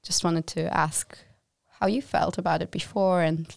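A woman's voice: lowish voice, steady tone, normal speed, gravelly, darkish tone, no echo, clean studio sound. A young woman from Germany hosting a casual student podcast, chatty and full of fillers. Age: young adult. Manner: chatty, friendly interviewer with fillers. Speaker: podcast host.

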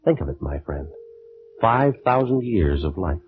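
Deep-voiced proud ancient scientist, old radio. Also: male voice, big pitch swings, measured pace, soft background music. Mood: solemn, persuasive. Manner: theatrical, declamatory. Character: proud ancient Egyptian scientist, fervent and self-assured. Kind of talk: 1940s radio drama acting. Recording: noisy tinny 1940s radio recording.

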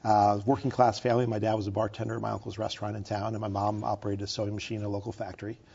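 A man's voice: fairly low pitch, little pitch movement, racing, creaky, darkish tone, dull audio, slight background noise. A man from upstate New York, a candidate for governor, giving confident, polished answers in an interview. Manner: confident, polished talking points. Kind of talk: campaign interview.

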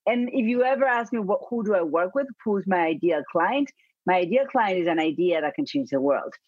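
A woman's voice: lowish voice, slightly rough, no echo, dull audio. A woman from Argentina with an Argentine Spanish accent, a business consultant speaking earnestly as an interview guest. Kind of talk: interview conversation.

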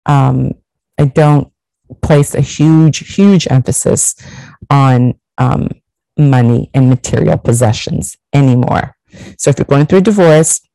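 A middle-aged woman from Portugal talks straight to her viewers with blunt emphasis and the odd swear word. Her voice is warm and mellow, and the close recording is dry with no room sound. She speaks in a candid, advice-giving monologue. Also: deep voice, no room sound, rough recording. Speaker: vlogger.

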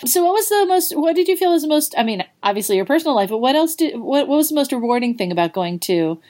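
A woman interviewing a guest in a casual, curious way, chatty and quick to laugh. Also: high-pitched, big pitch swings, darkish tone. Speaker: interviewer, host.

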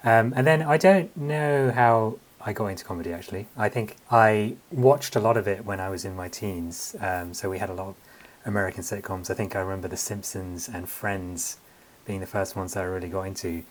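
A man's voice: low voice, fairly even intonation, brisk. A man from London with a London accent, chatting casually and self-deprecatingly as an interview guest. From London, England. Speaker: guest, comedy writer.

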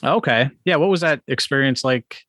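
A man's voice: mid-range voice, moderate pace, fairly bright tone, no room sound, clean studio sound. A man hosting a casual interview, easygoing, laughing often and filling in with "you know" and "like". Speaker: podcast host.

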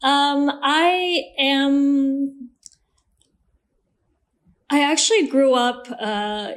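A woman from Malaysia with an American accent, talking as a podcast guest in a relaxed, talkative way with many ums and laughs. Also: high-pitched, drawn-out words. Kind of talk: casual interview.

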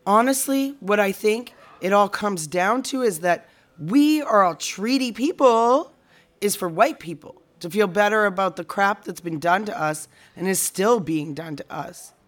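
A woman's voice: medium pitch, lively intonation, unhurried, lightly acted. Woman playing an earnest, frustrated teacher. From Canada. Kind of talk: naturalistic audio drama dialogue.

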